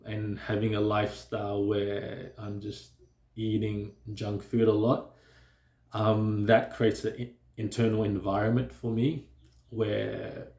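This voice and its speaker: A man's voice: on the low side, vocal fry, dark tone, roomy sound. A man who stutters, talking reflectively to his viewers in a hesitant way, with many fillers and restarts. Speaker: vlogger. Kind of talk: reflective personal talk to camera.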